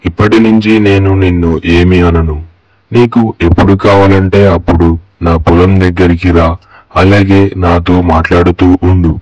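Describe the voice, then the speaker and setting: A man's voice: low voice, steady tone, moderate pace, a little mellow, no echo, rough recording. A man playing a proud, blunt village farmer in a cartoon moral story. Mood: apologetic, kindly. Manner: boastful, blunt, colloquial. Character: arrogant, scolding village farmer who later repents.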